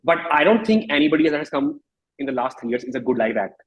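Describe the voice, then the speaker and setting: A man in his thirties with an Indian accent speaks in a slightly husky voice at a medium pitch. He talks like a musician in a relaxed interview, casual in wording but emphatic, often repeating a phrase to drive it home. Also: fast, highs cut off. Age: 30s.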